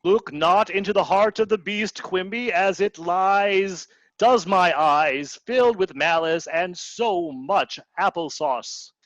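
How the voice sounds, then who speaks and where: A man's voice: high voice, slow pace, fairly bright tone, dry recording. A middle-aged man acting a grandiose, anguished stranded soul in a radio play, declaiming theatrically into a headset on a video call. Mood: lofty. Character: grandiose, anguished stranded man given to doom-laden lament. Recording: dull, lo-fi video-call sound.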